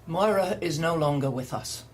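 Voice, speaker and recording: A woman's voice: low voice, moderate pace, a little hiss or hum, lightly acted. A woman playing a stern, controlling older woman who runs a reeducation center, coldly sweet and menacing. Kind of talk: audio drama acting.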